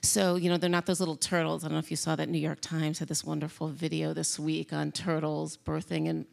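A woman's voice: low-pitched, level tone, brisk, gravelly, darkish tone. A woman in her forties, a child development expert on a conference panel, explaining things emphatically into a handheld mic on a theater stage. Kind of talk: panel discussion.

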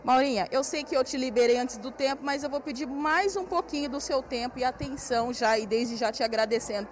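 A woman's voice: high-pitched, a little fast, a little hiss or hum. A woman in her thirties with a Brazilian accent, doing a live TV field report at an indoor craft fair on a handheld mic, chatty and guiding viewers around. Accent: Brazilian Portuguese. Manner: chatty, walks and points while talking. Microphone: handheld station mic.

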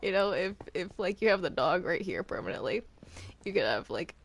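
A woman's voice: fairly low pitch, sing-song, brisk, breathy edge, no room sound. A woman chatting casually in a roleplay game, hesitant and often repeating her words. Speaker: player in a Minecraft roleplay.

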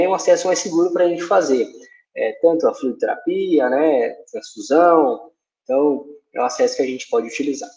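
A Brazilian man with a slightly high voice explains clinical material as a lecturing veterinarian. His delivery is halting, with frequent small check-ins to the listener. The sound is quite echoey, as from a room on a livestream. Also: a little mellow. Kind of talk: explanatory online lecture with slides. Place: indoor room, online livestream.

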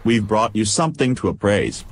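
Man acting a husky, grumpy droid. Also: lowish voice, very animated, brisk, exaggerated voice. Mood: sardonic, gruff. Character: grumpy, sardonic male droid. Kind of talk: audio drama acting.

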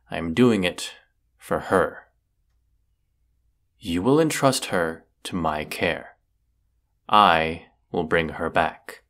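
A man playing a brooding villainous wizard in a fantasy audio roleplay, stern and formal in his deliberate delivery. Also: sits low, a bit bright, exaggerated voice. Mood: cold, menacing. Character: brooding villainous wizard of the woods, secretly lovelorn.

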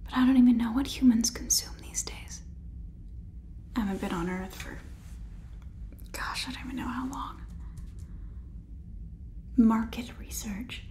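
A young woman playing a harried company boss on a phone call in an ASMR sitcom, hushed, halting and conversational. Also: fairly high pitch, natural voice. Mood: exasperated. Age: young adult.

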